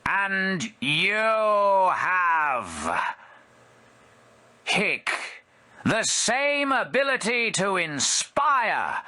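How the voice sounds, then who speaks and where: Man putting on a shrill, slurring drunken woman. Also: sing-song, extremely slow, pushed and bright, no echo, heavily acted. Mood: drunken pep talk. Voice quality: slurred, hiccuping. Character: drunken woman, boastful and muddled.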